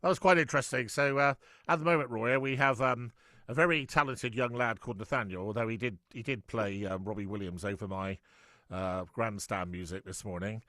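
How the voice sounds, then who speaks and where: A man's voice: fairly low pitch, a little fast, sharp tone, no room sound, studio quality. A middle-aged man hosting an interview, chatty and rambling with frequent stumbles and restarts. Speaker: host. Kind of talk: casual interview chat.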